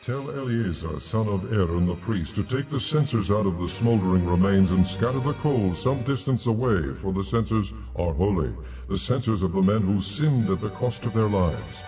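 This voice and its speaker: An actor playing a commanding divine voice of judgment, solemn, authoritative and measured, in an audio Bible drama. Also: male voice, lowish voice, lively intonation, mellow, muffled recording, soft background music, natural voice. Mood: solemn instruction.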